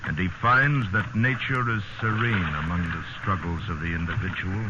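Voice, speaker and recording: A man acting as the grave, measured narrator of a sea tale in a 1950s radio drama. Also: low voice, heavy background noise, lightly acted. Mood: grave, philosophical. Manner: solemn, measured, deliberate. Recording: muffled vintage radio recording with music. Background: loud dramatic music.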